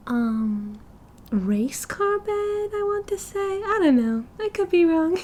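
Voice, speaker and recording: A woman's voice: high-pitched, dark tone, slight background noise. A British woman playing a cheerful, oblivious and possessive yandere girlfriend in an ASMR roleplay, with a breezy, giggly, dramatic manner. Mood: breezy, gossipy. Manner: giggly, breezy, dramatic, chatty with drawn-out casual delivery.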